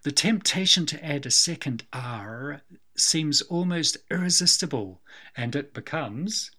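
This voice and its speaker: A man with a New Zealand accent, co-hosting a film podcast in a wry, measured, slightly theatrical way. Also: a little high, lively intonation, bright, forceful tone. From Scotland. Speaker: podcast co-host. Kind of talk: casual film discussion.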